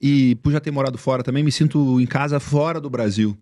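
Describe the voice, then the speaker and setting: A man's voice: medium pitch, fairly quick, dark tone, no room sound, clean studio sound. A Brazilian man with a light Rio de Janeiro accent, a podcast guest chatting casually and reflectively. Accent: Rio de Janeiro Portuguese. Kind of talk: casual interview chat.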